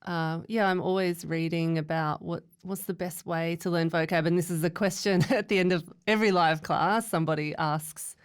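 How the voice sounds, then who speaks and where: A woman with a low-set, smooth, resonant voice explains teaching ideas in a relaxed, thoughtful way. She talks through her thinking as she goes. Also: moderate pace, hardly any pauses. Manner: thoughtful, explanatory, laughs often. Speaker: English teacher. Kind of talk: casual podcast chat.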